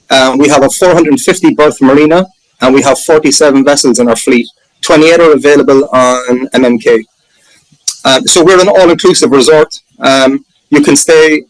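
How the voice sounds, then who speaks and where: Irish man, keen presenter, fairly high voice, close dry sound, casual pitch to a group. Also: dry recording, low-quality recording. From Ireland. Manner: enthusiastic, chatty, self-correcting. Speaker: booking manager presenting his sailing resort. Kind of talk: casual presentation on a video call.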